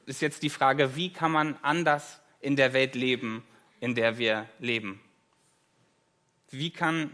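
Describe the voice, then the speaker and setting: A man's voice: mid-range voice, fairly bright tone. German man preaching conversationally. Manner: conversational, self-deprecating humor. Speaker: guest preacher. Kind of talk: sermon.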